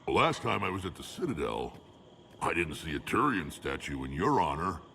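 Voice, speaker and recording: A huge, battle-worn alien clan warlord for a video game. The voice is an extremely low, gravelly rumble. He is blunt, proud and dryly funny, over faint background noise. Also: sing-song, moderate pace, sharp tone, full character voice. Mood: wry. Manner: blunt, dry-humored. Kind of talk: video game cutscene acting.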